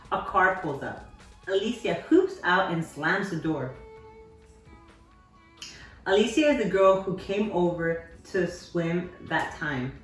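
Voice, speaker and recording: A woman teacher reading a children's novel aloud in a measured, steady way, with occasional slips. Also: lowish voice. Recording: echoey room, distant mic, faint music.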